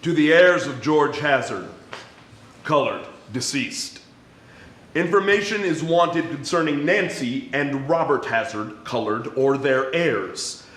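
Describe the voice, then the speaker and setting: Medium-pitched man reading in echoey hall. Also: animated, measured pace, a bit bright. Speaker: performer reading historical newspaper ads. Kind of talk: staged dramatic reading.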